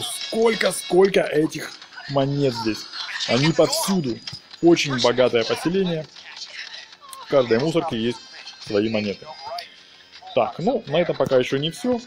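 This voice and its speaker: A man giving a casual gameplay walkthrough, talking viewers through each step in slangy, diminutive-laden wording. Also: medium pitch. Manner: talks viewers through steps, fond of diminutives and slangy words. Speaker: video game let's player.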